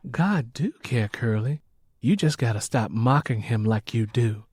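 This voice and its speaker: A man's voice: mid-range voice, animated, measured pace, husky, dry recording, studio quality. A man voicing a devout, steadfast Southern mother, speaking plainly and earnestly in an audiobook. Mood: firm, reproving. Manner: plain, earnest, gently reproving. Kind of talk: audiobook character acting.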